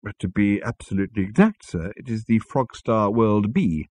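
A man voicing a polite, formal alien waiter who speaks slowly and deferentially. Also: on the low side, darkish tone, no room sound, natural voice.

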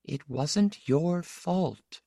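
Casting: a man to play an elderly storybook mother, pitched high, soft and exultant, soothing with a possessive pull. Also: wildly swooping pitch, takes it slowly, no room sound, clean studio sound, full character voice. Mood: soft coaxing.

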